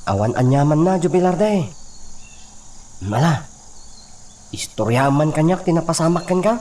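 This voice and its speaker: An actor playing a concerned, loyal friend who shelters a fugitive in an Ilocano radio drama. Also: male voice, on the high side, expressive, moderate pace, warm tone, recorded from a distance, lightly acted.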